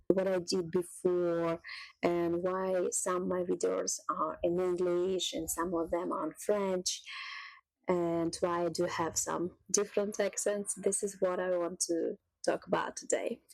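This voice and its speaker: A woman talks through her story in an unhurried, chatty way, slipping in soft little laughs. Her pace is slow and easy. The voice sounds dry and close, with almost no room around it. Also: on the low side, vocal fry, dry recording, rough recording. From Russia. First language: Russian. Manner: unhurried, chatty, laughs softly between thoughts. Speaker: cooking channel creator. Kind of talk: casual personal vlog monologue.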